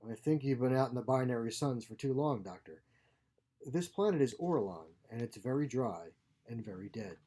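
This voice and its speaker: A man reading the part of a stern woman starship captain in a story, crisp, curt and intimidating. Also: mid-range voice, expressive, normal speed, warm tone. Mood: skeptical.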